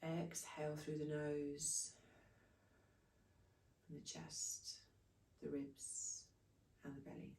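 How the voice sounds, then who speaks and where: A woman leading a guided breathing meditation, giving soothing, unhurried instructions in short phrases. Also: sits low, flat delivery, a little mellow, a little hiss or hum. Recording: echoey room, distant from microphone.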